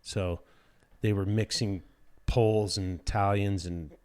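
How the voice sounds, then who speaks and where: Podcast guest: American man, lower-pitched, deadpan humor, blunt slangy delivery in casual conversation. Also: a little slow, clean tone. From United States. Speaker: podcast guest, dirt bike rider. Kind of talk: casual chat.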